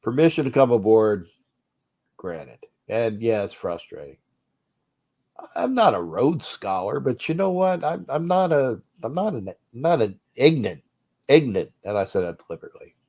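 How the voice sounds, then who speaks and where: A stuffy-nosed man with a nasal voice hosts a casual sports livestream and rants bluntly. He stumbles and repeats himself as he answers chat messages. His voice sits at a middling pitch. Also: big pitch swings, normal speed, darkish tone. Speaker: sports talk livestream host. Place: cold indoor room.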